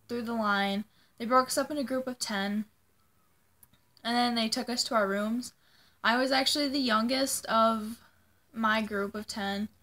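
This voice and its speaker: A woman's voice: a little high, a bit bright. A young woman telling a personal story to viewers in a casual, informal way, often dropping "like" into her sentences. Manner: informal, peppers speech with "like". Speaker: vlogger.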